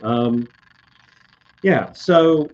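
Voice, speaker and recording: Slow, halting man with a dull mic. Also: mid-range voice, a little mellow. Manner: slow, halting, many "uh" fillers and restarts. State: slightly hoarse. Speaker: code expert on a live industry webcast. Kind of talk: casual live-stream talk, answering viewer comments.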